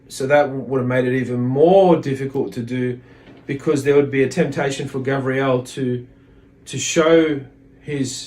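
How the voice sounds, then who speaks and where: A man's voice: medium pitch, rough recording. A man giving an informal religious lesson, reading aloud and explaining in a hesitant, reflective manner. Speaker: religious teacher.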